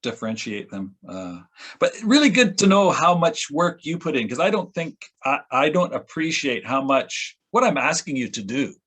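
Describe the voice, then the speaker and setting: Man, average pitch, halting delivery, casual panel talk, unscripted. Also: sing-song, fairly bright tone, breathy edge. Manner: hesitant, halting. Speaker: radio play producer and sound editor.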